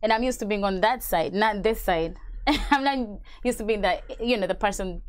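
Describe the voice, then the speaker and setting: A woman from Uganda, a radio host, answering interview questions in a studio in a relaxed, chatty way with many fillers. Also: mid-range voice, moderate pace. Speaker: radio host and event organizer. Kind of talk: interview guest.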